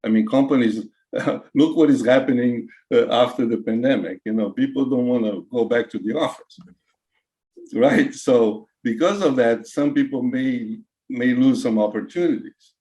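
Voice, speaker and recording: Brazilian man in his sixties, halting. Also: mid-range voice, mellow, poor audio. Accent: Brazilian Portuguese. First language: Portuguese. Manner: halting, many fillers and restarts. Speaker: guest, retired CIO. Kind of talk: casual interview answers.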